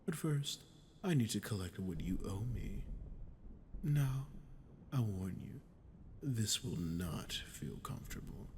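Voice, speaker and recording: A man voicing a sadistic, dominant demon in an ASMR roleplay, speaking with deliberate, taunting condescension. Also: expressive, a little mellow. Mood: menacing. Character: sadistic archdemon, smug, menacing, dominant toward a human. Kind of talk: ASMR roleplay audio acting. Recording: clear background noise with low rumble.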